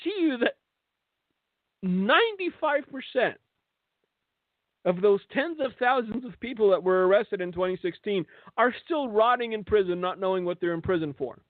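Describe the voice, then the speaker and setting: Male religious broadcast host, slightly high voice, sardonic monologue with pointed rhetorical questions, thin phone-like sound. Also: animated, normal speed. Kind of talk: political and religious monologue commentary. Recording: thin phone-like band, echoey room.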